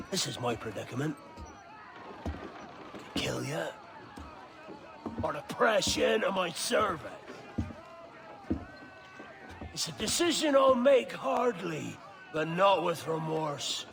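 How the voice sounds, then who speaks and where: Slow gravelly pirate captain from a video game, swings all over the place, noisy background. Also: male voice, a little high, very animated, crowd noise, full character voice. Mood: menacing. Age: 40s. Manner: theatrical, swaggering, slow delivery. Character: brash, weary, menacing middle-aged male pirate captain. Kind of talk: video game cutscene acting.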